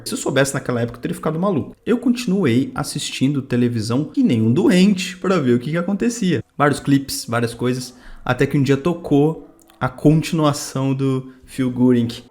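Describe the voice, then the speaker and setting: Informal young Brazilian man telling stories over music. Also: fairly high pitch. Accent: Brazilian, São Paulo state. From Campinas, Brazil. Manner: informal, slangy, animated retelling with quoted dialogue. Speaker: video creator telling personal stories. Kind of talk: casual personal storytelling to viewers.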